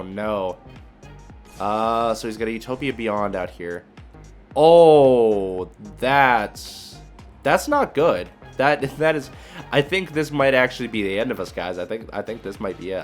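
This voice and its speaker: A man giving casual gameplay commentary, thinking aloud in a hesitant, muttering way as he reasons through his moves. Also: lowish voice. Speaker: video creator and card game player.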